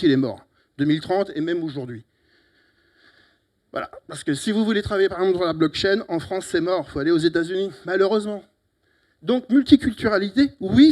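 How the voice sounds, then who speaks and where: A middle-aged man from Italy with an Italian accent, giving a lively, teasing closing talk at a conference on a handheld mic, with sudden loud emphatic bursts. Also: sits high. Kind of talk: lively, joking conference speech. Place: conference hall. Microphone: handheld microphone.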